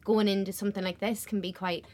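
A woman's voice: mid-range voice, flat delivery, fast, a little mellow. A woman in her twenties with a West Belfast accent, an actor talking earnestly about her training in an interview. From Northern Ireland. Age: 20s. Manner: earnest, lots of fillers like "kinda". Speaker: stage actor. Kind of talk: casual interview chat.

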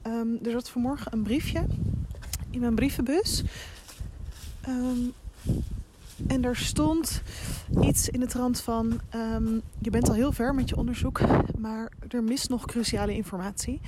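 An actress playing an anxious but determined young reporter in an audio drama, thinking aloud haltingly as she records herself. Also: a little high, lightly acted. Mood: hesitant, uneasy. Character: anxious, determined young female local reporter investigating a mystery. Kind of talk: audio drama, recorded voice diary while walking. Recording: very noisy outdoor field recording with clicks.

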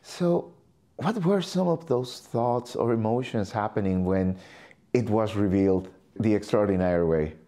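An interviewer speaking in a reflective, celebratory style, deliberately building phrases in series. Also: mid-range voice, warm tone.

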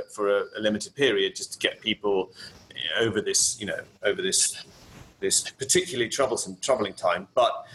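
A man with a low-set, bright and forceful tone talks through a casual interview, pausing and restarting his sentences as he works out each thought. A faint hum of room ambience sits behind him. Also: rough recording. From Kenya. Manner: hesitant, many restarts and fillers. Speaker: guest, property finance specialist. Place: home in Barcelona.

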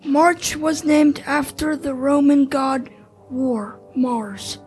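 A woman with a high voice reads short facts aloud at a slow pace, as part of a podcast team. Faint music sits with her voice. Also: steady tone, a little mellow.

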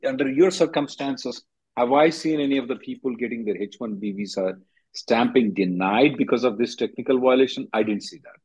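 A man's voice: mid-range voice, a little fast, a little mellow, breathy edge. A man working as an attorney hosting a call-in, giving blunt, directive advice and repeating himself for emphasis on a conference call. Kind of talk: question-and-answer legal advice on a conference call. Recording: muffled, lo-fi conference call line.

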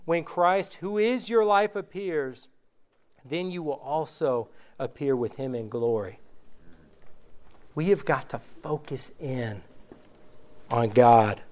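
A man gives a casual sermon and reads scripture with slow, deliberate emphasis. His voice sits high for a man and sounds clean and smooth, though recent sickness has worn it down. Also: darkish tone. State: recovering from illness, voice going. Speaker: preacher. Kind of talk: informal sermon with scripture reading.

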